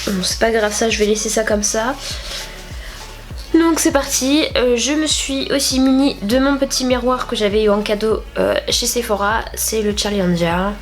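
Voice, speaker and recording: Stuffy-nosed young woman, chatty and rambling. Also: a little high. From France. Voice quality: nasal. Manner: chatty, rambling with many fillers. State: stuffy nose from a cold. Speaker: beauty vlogger. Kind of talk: casual makeup tutorial chat.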